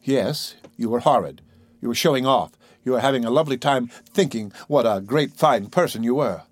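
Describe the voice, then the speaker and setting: A man reading an audiobook voices a calm wife who gently scolds her husband. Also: medium pitch, moderate pace, breathy edge, no echo. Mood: reproachful.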